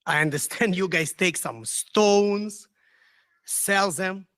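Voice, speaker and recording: A man from Ukraine with a Ukrainian accent, speaking halting non-native English as a resident giving an earnest public comment. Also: sits high, fairly bright tone, dry recording.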